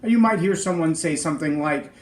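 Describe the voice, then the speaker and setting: Middle-aged male podcast host, big high-set voice, earnest and emphatic, roomy echo. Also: fast. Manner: earnest, emphatic, speaks in lists. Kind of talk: motivational leadership talk.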